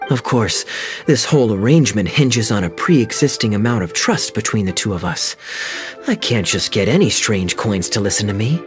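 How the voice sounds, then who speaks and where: An actor playing a sly, charming young knight and playful schemer in a video game cutscene, speaking in a smooth, teasing, knowing way. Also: male voice, medium pitch, close to an everyday voice. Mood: amused. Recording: faint game music behind.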